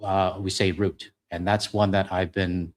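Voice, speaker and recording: A man's voice: sits low, flat delivery, measured pace. A middle-aged Canadian man with a Canadian accent chatting casually on a live stream, rambling and self-deprecating with lots of fillers. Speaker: co-host reading donations.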